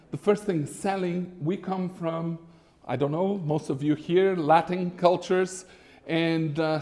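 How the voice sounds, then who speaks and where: A middle-aged man from Brazil giving a masterclass presentation to a live audience in a small room, animated and conversational with frequent fillers. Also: on the high side. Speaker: business consultant lecturer. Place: small lecture room with a screen.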